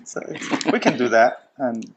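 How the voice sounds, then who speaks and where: Brief: male host, 40s, halting casual talker, mid pitch, faint hiss. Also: sing-song. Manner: halting, many restarts and fillers, non-native phrasing. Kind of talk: casual chat over a meal.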